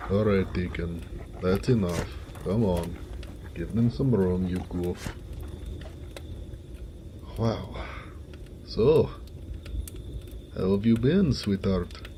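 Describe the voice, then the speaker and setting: Big friendly orc blacksmith , male actor, audio roleplay. Mellow, slow-spoken, folksy and fond, over faint background noise. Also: fairly low pitch. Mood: playful, fond. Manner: folksy, affectionate, easygoing. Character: big, warm-hearted orc blacksmith, affectionate and jovial. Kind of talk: intimate romantic audio roleplay, one-sided conversation.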